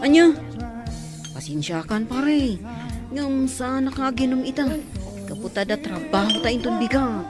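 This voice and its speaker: A man plays a level-headed buddy in a radio drama, questioning and scolding. Music plays clearly under his voice. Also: extremely high pitch, expressive, a little slow, long pauses. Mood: reluctant, calm. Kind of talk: Ilocano radio drama acting.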